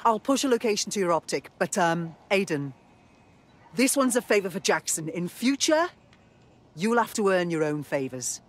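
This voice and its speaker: Chatty underworld contact in a game, pretty high voice for a man, casual, bit hesitant and wry. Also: big pitch swings, moderate pace. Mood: helpful, wry. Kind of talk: video game cutscene acting.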